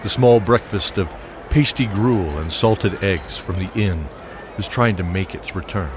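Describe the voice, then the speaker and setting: Deep-voiced man narrating a fantasy tale. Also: measured pace, background noise. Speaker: narrator and game master. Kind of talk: scripted narration.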